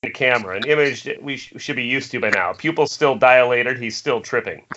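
A man's voice: mid-range voice, fairly quick. A man narrating screenplay directions for a table read, recorded over an internet call. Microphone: remote video-call microphone. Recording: muffled internet call, faint noise.

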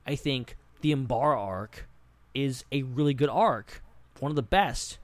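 A man with a medium-pitched voice chats informally as a podcast co-host. He rambles in loose, filler-heavy phrases. The recording is dry and free of room sound. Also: measured pace, dry recording. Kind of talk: casual pop-culture discussion.

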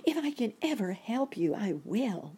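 Woman putting on a high-pitched tiny fretful lady ant. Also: big pitch swings, unhurried, dark tone, husky, full character voice. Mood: grateful. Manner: plaintive exclaiming, polite.

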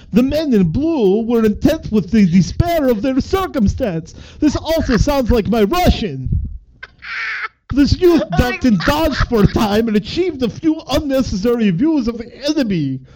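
A man's voice: extremely high pitch, mellow, voice pushed up, heavily acted, low-quality recording. A man reading a book aloud in a clumsy put-on Jamaican accent that drifts toward Russian. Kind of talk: comedic read-aloud.

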